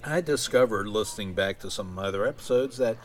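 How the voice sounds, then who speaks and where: A man hosting a podcast alone in a casual, conversational style, with somewhat halting phrasing. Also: on the low side. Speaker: podcast host. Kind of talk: casual solo podcast talk.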